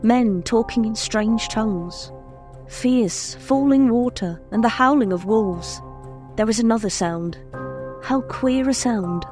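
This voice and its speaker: A woman's voice: on the high side, slow, faint music behind. A woman playing an eerie, passive young woman speaking dreamily from a hypnotic trance in a radio drama. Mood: entranced, uneasy. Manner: dreamy, hushed incantation in short phrases. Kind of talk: old-time radio drama acting.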